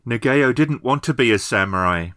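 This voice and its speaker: A man's voice: mid-range voice, expressive, moderate pace, bright, forceful tone, no echo, clean studio sound, pitched above the performer's own voice. A man voicing a grieving, stubborn village woman who is polite but firm, in a tabletop game. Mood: quietly defiant.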